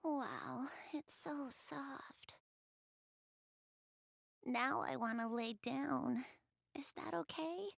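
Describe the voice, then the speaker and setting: High-pitched, bashful anime goddess girl. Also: very animated, vocal fry, dry recording, studio quality, heavily acted. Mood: weary, soft. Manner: hesitant, bashful, halting. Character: shy, flustered young goddess girl, soft-spoken, easily embarrassed. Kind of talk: anime video game voice acting, addressing the player.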